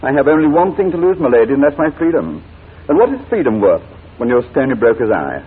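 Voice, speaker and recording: An actor playing a coolly polite, menacing blackmailer in a 1940s radio drama, speaking in formal, mockingly courteous phrases. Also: male voice, medium pitch, sing-song, fast talker, breathy edge. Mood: menacing persuasion. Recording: noisy, tinny 1940s radio recording.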